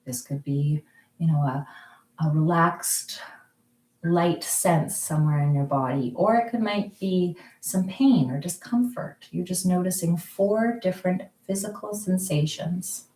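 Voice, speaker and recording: Calm woman, low and mellow, leading slow guided mindfulness at home, echoey kitchen. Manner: slow, soft, calm guiding with frequent pauses. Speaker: mindfulness group leader. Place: kitchen or dining room at home.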